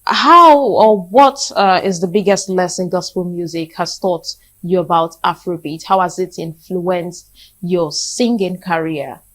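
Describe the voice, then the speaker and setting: Lowish-voiced Nigerian woman interviewing. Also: unhurried, fairly bright tone. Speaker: co-host, interviewer. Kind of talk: conversational TV interview.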